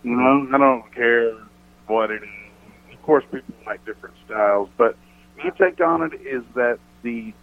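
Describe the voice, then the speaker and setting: A man with a deep voice, a country singer chatting casually as a radio guest, rambling thoughtfully with many restarts. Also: normal speed. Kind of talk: casual radio interview chat. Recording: tinny phone-line sound.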